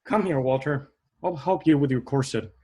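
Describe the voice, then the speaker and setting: A man playing a steady, sensible, caring theatre troupe member, soothing and mildly scolding in an audio play. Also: mid-range voice, moderate pace, husky, close to an everyday voice. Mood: dry, helpful.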